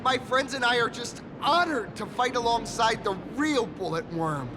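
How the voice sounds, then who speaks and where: A man voicing an excitable, starstruck young masked hero in an anime-style video game, earnest and humble, stammering when flustered. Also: very high voice, normal speed, background noise. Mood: earnest, proud.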